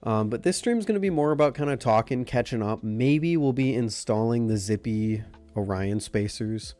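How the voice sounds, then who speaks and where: A man's voice: mid-range voice, normal speed, soft background music. Nasal young man chatting on stream. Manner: relaxed, greeting chat by name. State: nasal from a cold. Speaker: livestream host. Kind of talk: casual chat with live viewers.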